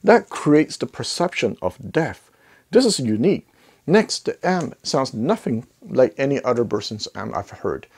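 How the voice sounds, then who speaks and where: A middle-aged man presents a review in a conversational style. His pitch is average for a man, and he leans on key words, repeating them for emphasis. He speaks into a clip-on mic. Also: a little mellow. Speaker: host of an audio gear review channel. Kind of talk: casual product review to camera. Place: living room listening space with acoustic wall panels. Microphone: clip-on lapel mic.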